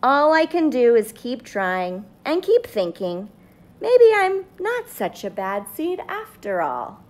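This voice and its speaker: Small grumpy seed character, acted by a woman, storybook confession, dramatic, highish pitch, slow delivery. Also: sing-song, a little mellow. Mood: earnest, hopeful. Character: small grumpy sunflower seed trying to be good. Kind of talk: children's storybook read-aloud.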